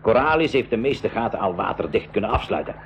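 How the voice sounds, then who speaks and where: A man's voice: lowish voice, sing-song, fast, vocal fry. A man playing a practical, reassuring uncle and professor in a 1980s radio drama, explaining things matter-of-factly. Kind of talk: 1980s school radio drama acting in Dutch. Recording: muffled 1980s radio with faint noise.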